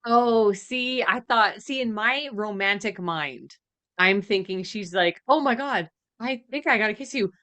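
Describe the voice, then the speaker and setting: Female podcast co-host: bright, forceful, mid-pitched, outspoken and conversational, dry close sound. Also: measured pace, no echo. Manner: animated, blunt, opinionated. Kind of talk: casual reaction chat.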